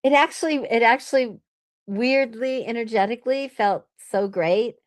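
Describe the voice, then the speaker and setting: Higher-pitched woman, effusive casual chat. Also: normal speed, no room sound, studio quality. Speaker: retreat and event producer.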